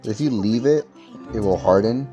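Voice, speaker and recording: A man's voice: lowish voice, normal speed, mellow, muffled recording, faint music behind. A man giving casual, rambling commentary as he streams a game. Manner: rambling, informal.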